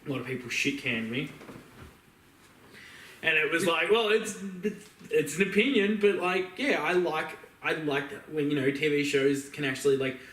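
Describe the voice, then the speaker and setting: A man's voice: fairly high pitch, moderate pace, a bit bright, slight background noise. A man co-hosting a podcast, chatting casually in a rambling, slangy, conversational way. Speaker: podcast co-host. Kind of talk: casual chat about film and games. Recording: roomy, echoey, distant mic.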